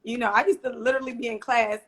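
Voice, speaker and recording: A woman's voice: a little high, steady tone, quick pace, a bit bright, husky. A woman with an American accent, raised in Philadelphia, chatting in a friendly, informal way as an interview guest. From born in Trinidad and Tobago, raised in Philadelphia, USA. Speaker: interview guest, small business owner and hairstylist. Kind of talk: casual conversation.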